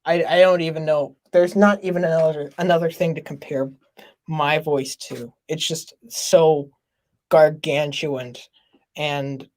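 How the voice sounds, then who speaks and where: A man from Minnesota hosting a casual live stream, talking loudly and laughing often. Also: a little high, steady tone, a little slow, a little hiss or hum. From Minnesota, USA. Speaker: podcast host.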